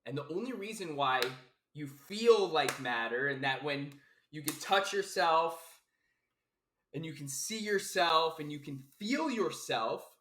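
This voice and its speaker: A man's voice: a little high, lively intonation, measured pace, fairly bright tone, slight background noise. A man from South Florida, a life coach, giving a casual, emphatic philosophical monologue full of repetition, fillers and the odd swear word. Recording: roomy echo.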